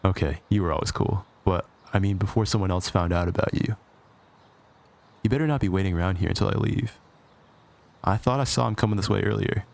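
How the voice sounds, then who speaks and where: A man playing a cocky, possessive, sarcastic supervillain with a soft spot, in an intimate ASMR roleplay with a teasing, conversational delivery. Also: sits low, brisk, creaky. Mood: smug, fond.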